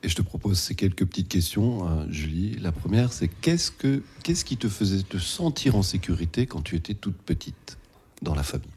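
A man asking personal questions as an interviewer, building them slowly. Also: deep voice, lively intonation, a little mellow, husky.

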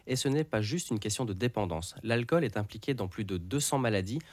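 Low-voiced male presenter, dry studio sound. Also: animated, fairly quick, a little mellow, no echo. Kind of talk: scripted narration.

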